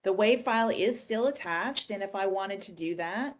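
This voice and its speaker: A woman presents a software demo with a medium-pitched voice. Her delivery is conversational and explanatory, like someone casually guiding viewers through a shared screen. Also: moderate pace.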